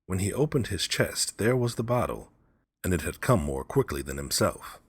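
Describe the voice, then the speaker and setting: A man's voice: sits low, sing-song, normal speed, husky, no room sound, clean studio sound. A man reading a story aloud as scripted storybook narration. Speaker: audiobook narrator, story reader.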